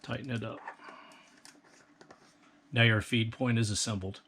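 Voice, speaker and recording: A man calmly explaining a hands-on build step by step, in a practical, methodical way. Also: mid-range voice, a little slow, husky. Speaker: instructor. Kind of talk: step-by-step how-to instruction.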